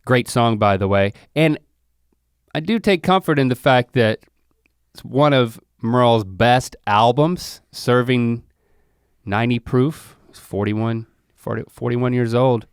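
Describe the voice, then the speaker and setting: An easygoing man in a casual chat, talking in a relaxed, philosophical way. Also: medium pitch, a little slow, smooth, no echo, clean studio sound.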